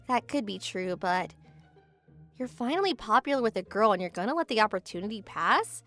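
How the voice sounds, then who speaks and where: A woman playing a tsundere teenage girl in a romcom manga dub, expressive and huffy, a childhood friend in denial. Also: medium pitch, fairly quick, faint music behind. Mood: persuading.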